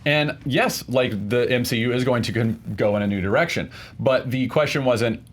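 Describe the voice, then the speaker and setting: Guy in a casual debate, normal pitch, keeps restarting as he makes his point. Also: moderate pace. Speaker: debate show contestant. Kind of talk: casual argumentative chat.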